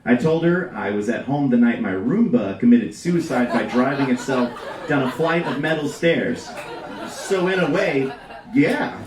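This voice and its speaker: A man reading a comedic story aloud to a live audience with a deadpan, deliberate delivery. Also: mid-range voice, a crowd in the background, background noise. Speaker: reader at a live storytelling show. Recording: roomy live venue, distant mic.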